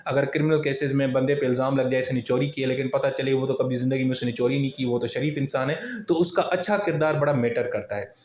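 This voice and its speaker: A man's voice: a little high, darkish tone. A man giving an explanatory exam-prep lecture, restating each point in plain colloquial terms with everyday examples. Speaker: law lecturer. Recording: roomy, echoing sound.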